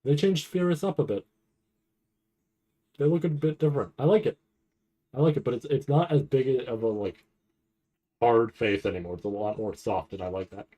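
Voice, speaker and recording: A man giving casual, off-the-cuff commentary on a video, thinking aloud in a halting way and often repeating himself. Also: mid-range voice, warm tone. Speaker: anime reaction streamer.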